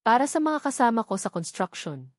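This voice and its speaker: Storybook role: calm, helpful young construction man, read by a female narrator, gentle and steady; dry sound. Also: a little high, brisk, no echo. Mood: friendly, helpful. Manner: gentle, reassuring, plain-spoken. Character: calm, kind young male construction worker, gentle but firm.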